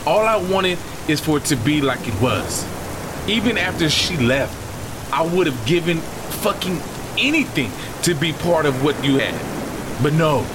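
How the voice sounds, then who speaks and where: A man acting an embittered, grieving brother in an audio drama, with anguished delivery. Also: a little high. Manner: anguished, profane outbursts. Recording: heavy rain and storm noise behind. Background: rain and storm ambience.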